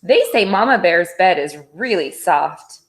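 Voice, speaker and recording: A woman voicing a sleepy, reluctant storybook cow in a weary, unhurried manner. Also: on the low side, lively intonation. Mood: dreamy.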